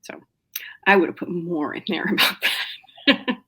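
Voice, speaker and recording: A woman's voice: fairly low pitch, lively intonation, normal speed, fairly bright tone, breathy edge, poor audio. A middle-aged woman novelist chatting as a podcast guest, with lots of fillers, restarts and small laughs. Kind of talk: casual interview chat.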